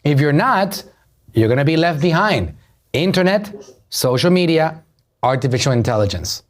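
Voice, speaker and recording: A man's voice: fairly high pitch, big pitch swings, moderate pace. A middle-aged man with a Puerto Rican accent, a marketer hosting a podcast, giving an emphatic motivational business talk that repeats key phrases and asks the listeners questions. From Puerto Rico.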